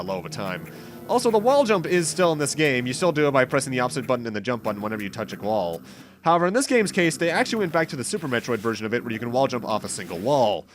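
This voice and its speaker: A man's voice: mid-range voice, fairly bright tone. A man giving casual, rambling let's play commentary in a chatty, mildly wry way. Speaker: gaming commentator, host. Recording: game music behind the voice. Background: video game music.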